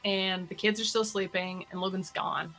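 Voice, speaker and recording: A woman co-hosting a vlog, telling a personal story in casual, informal and animated chat. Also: medium pitch, normal speed, soft background music, rough recording. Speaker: vlog co-host.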